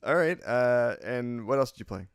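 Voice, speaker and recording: A man's voice: medium pitch, animated, moderate pace, noisy background. A man co-hosting a casual podcast chat, laughing often and asking the others questions. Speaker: podcast co-host. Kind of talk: casual chat over beers.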